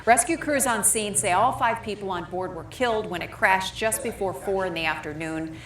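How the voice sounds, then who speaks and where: A woman's voice: medium pitch, moderate pace, a bit bright, slight background noise, low-quality recording. An American woman reading scripted TV news as an anchor. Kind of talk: scripted broadcast news read.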